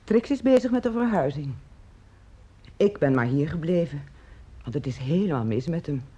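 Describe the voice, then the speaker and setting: A woman's voice: low voice, animated, normal speed, mellow, lo-fi sound. A woman acting in a radio play as a practical, complaining sister-in-law of a drunkard, chatty and matter-of-fact. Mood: confiding, worried.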